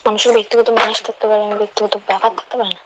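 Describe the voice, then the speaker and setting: A woman's voice: medium pitch, flat delivery, racing. A young woman arguing casually, answering in a curt, stubborn and dismissive way. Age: young adult. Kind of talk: casual phone argument. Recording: dull lo-fi line with faint noise.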